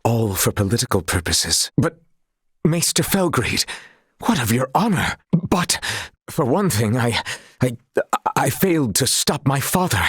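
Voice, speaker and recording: An actor playing an earnest, courtly young sailor and swordsman of noble family in a video game, speaking in formal, old-fashioned phrasing with a husky voice. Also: mid-range voice, sing-song, unhurried, pauses often, fairly bright tone, dry recording, clean studio sound, full character voice. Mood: earnest, troubled.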